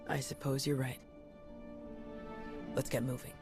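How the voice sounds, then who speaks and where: An actress playing an earnest, troubled young Jedi in a video game, intense and impatient. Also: female voice, a bass voice, little pitch movement, a little slow. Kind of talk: video game dialogue acting. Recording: music behind the voice.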